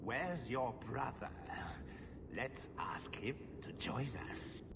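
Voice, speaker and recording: A man's voice: mid-range voice, animated, slow pace, very noisy. An actor playing a crazed, greedy and lustful nobleman in a fantasy video game, gloating as if in a depraved reverie. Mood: lustful rapture.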